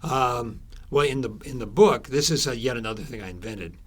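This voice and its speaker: A man speaking as an interviewed author, telling stories conversationally in a halting way, repeating words and trailing into asides. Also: mid-range voice.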